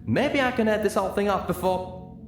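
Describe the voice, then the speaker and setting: A man's voice: high-pitched, a little fast, reverberant, a little hiss or hum, pitched above the performer's own voice. A man voicing an older cartoon-style pony mare in an audiobook, a folksy, emphatic country boss, strained with fury and heartbreak. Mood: angry, determined.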